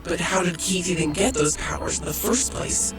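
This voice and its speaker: A man's voice: high-pitched, normal speed, close to an everyday voice. A man in a radio drama playing a weary, blunt, exasperated agent who bends reality for his creator. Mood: frustrated. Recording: echoing space, loud music underneath.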